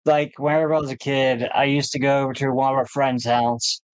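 A man's voice: mid-range voice, fairly even intonation, a little fast, clean tone, no echo, rough recording. A man in his late twenties trading jokes and memories with friends on a podcast, often breaking into laughter. His voice sits in a middle register and the recording is dry, with no room sound. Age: late 20s. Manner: laughs often, joking and reminiscing. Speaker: podcast co-host. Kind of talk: casual chat.

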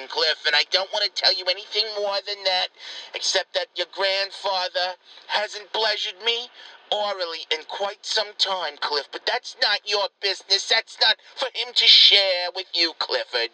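A man's voice: high voice, pushed and bright, heavily acted, poor audio. A man voicing a rambling, scandalized old grandmother who dotes on her grandson in a voicemail. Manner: fussy, gossipy, doting. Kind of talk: comedic phone message sketch.

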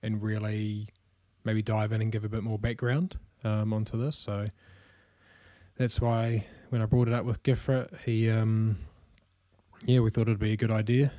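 A young man with a New Zealand accent hosts a casual podcast, his voice mellow and warm. He speaks in a relaxed, unhurried way, filling gaps with "um" and breaking into easy laughter. The sound is close and dry, with no room echo. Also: lowish voice. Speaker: podcast co-host.

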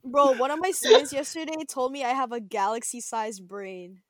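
A young woman in casual chat with friends, goofy and slangy with quick comebacks. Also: sits high, a loud crowd. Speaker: podcast guest.

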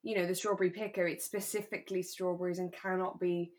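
A woman's voice: lowish voice, little pitch movement, fast, darkish tone. A British woman co-hosting a podcast, chatting casually and conversationally about a radio drama, with a giggly streak. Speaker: podcast co-host.

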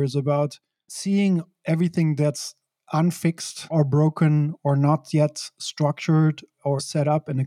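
A middle-aged man from Belgium, speaking as an interview guest in a reflective, measured way with occasional laughs. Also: on the high side, mellow, no echo, studio quality. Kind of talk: conversational interview.

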